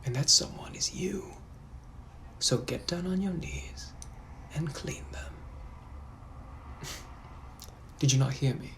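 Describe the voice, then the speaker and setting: A young man putting on a British accent to play a suave, menacing nineteenth-century gentleman, talking smoothly, often half-whispered, and calling everyone darling. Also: fairly high pitch, slow, pauses often, noisy background. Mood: haughty, commanding. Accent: British, put-on. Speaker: sketch creator. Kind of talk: POV comedy sketches.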